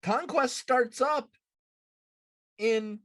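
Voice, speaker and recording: A man hosting a casual gaming discussion, explaining things in a deliberate way. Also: extremely high pitch, fairly bright tone, no echo. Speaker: podcast host. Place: room at home, on a video call.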